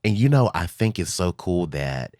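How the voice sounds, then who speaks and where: Deep-voiced encouraging male interview host. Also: very animated, normal speed, darkish tone, no room sound, clean studio sound. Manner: affirming, encouraging, frequent agreement. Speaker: show host. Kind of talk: casual interview conversation.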